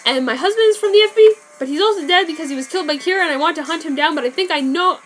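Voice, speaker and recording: A woman's voice: extremely high pitch, racing, pitched above the performer's own voice. A woman voicing an urgent, grieving woman agent who talks in a breathless rush. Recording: background music.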